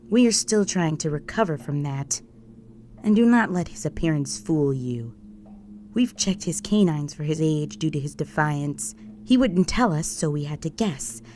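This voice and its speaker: A woman's voice: deep voice, a little mellow, slight background noise. A woman voicing a deferential human guard serving a vampire countess, formal and earnest, in a scripted audio roleplay.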